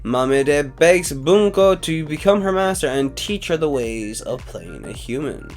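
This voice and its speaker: A man reading out anime synopses and then wondering aloud about each show in casual, animated commentary. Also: a little high, unhurried, faint music behind. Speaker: anime YouTuber.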